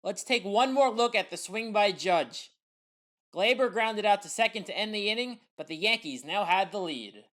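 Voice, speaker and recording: American woman narrating sports highlights. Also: a bit bright. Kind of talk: scripted play-by-play recap narration.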